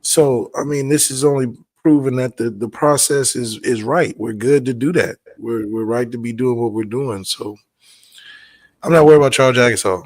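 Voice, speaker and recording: A man co-hosting a casual sports podcast, relaxed and conversational, repeating words as he thinks aloud. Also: medium pitch, moderate pace. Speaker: podcast co-host.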